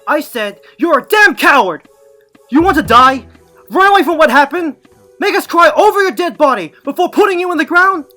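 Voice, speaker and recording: A woman's voice: medium pitch, lively intonation, soft background music, close to an everyday voice. A woman voicing an earnest, hot-tempered male German shepherd pup in an emotional cartoon fan drama. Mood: angry, scornful. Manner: intense, halting, emotional.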